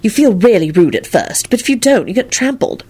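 A woman's voice: medium pitch, animated, a little fast, mellow, slightly rough, pitched above the performer's own voice. A woman voicing a bossy, hot-tempered and anxious young woman in an audiobook, urgent and emphatic. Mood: bossy, prim. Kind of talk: audiobook character voice.

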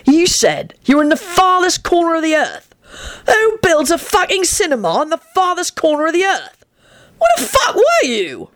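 A woman's voice: fairly high pitch, sing-song, a little fast, creaky, hardly any pauses, voice pushed up, full character voice. A woman voicing a brash, impatient young man with a teasing, incredulous manner in an audiobook. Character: mocking, impatient, foul-mouthed young man. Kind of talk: audiobook character voice.

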